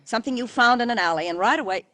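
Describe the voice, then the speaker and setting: Haughty middle-aged American wife, wide swings in pitch, impatient, scornful, vintage radio drama. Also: female voice, lively intonation, rapid-fire, sharp tone, no echo. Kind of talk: 1940s-50s American radio drama acting.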